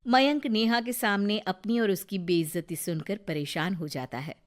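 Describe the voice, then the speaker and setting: A woman's voice: mid-range voice, normal speed. A woman narrating a scripted moral story as the storyteller.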